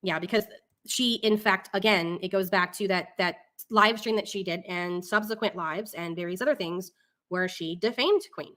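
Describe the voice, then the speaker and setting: A woman's voice: medium pitch, moderate pace, fairly bright tone. A woman hosting a casual live talk show, reading documents and commenting in an exasperated, opinionated way.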